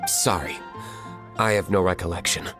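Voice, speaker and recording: A man's voice: sits low, normal speed, over music, close to an everyday voice. A man playing an aloof, unsentimental wealthy master in an anime video game, curt and detached. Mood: cold.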